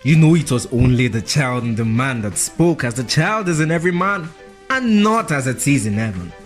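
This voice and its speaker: An actor playing a deferential, apologetic political conspirator in a radio drama. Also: male voice, mid-range voice, lively intonation, normal speed, faint music behind, lightly acted. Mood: contrite.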